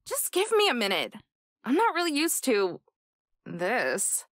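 A woman's voice: on the high side, wildly swooping pitch, a little slow, a bit bright, no echo, pitched above the performer's own voice, exaggerated voice. A woman voicing a guarded, wry young heroine of a visual novel in a dry, hesitant manner. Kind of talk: visual novel character dub.

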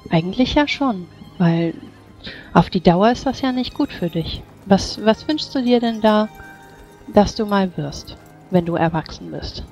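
A woman playing a tabletop game, improvising in character in a gentle, coaxing, hesitant way. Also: medium pitch, animated, unhurried, lo-fi sound. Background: faint music.

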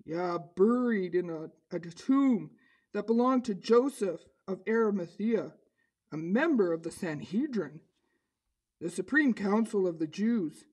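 A woman playing a bold male puppet disciple, telling a children's story in an earnest, expressive way. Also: mid-range voice, unhurried, breathy edge. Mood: explanatory. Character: bold, eager puppet disciple Simon Peter, a man.

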